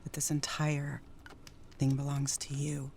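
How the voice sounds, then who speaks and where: An actress playing Jerry, a troubled, wistful, newly sober wife in a 1930s radio drama. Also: female voice, a bass voice, completely flat delivery, a little slow, a little mellow, slight background noise. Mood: wistful, pleading.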